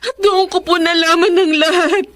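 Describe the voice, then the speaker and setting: A woman's voice: extremely high pitch, fairly even intonation, normal speed, fairly bright tone. A woman playing a betrayed, heartbroken wife and mother in a Filipino radio drama, with a Filipino accent and an emotional, pleading delivery. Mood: shaken.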